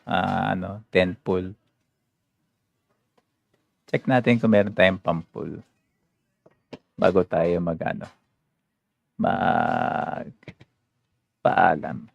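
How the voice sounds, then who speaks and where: A man's voice: low voice, fairly even intonation, slow, vocal fry, long pauses, dry recording, muffled recording. A man with a Filipino accent chatting casually as he livestreams a game. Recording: game music behind the voice.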